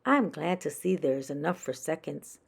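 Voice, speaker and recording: A woman's voice: sits low, animated, normal speed, vocal fry, breathy edge, full character voice. A woman voicing a hearty, pleasant father animal in a children's storybook, in a plain, declarative manner. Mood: pleased.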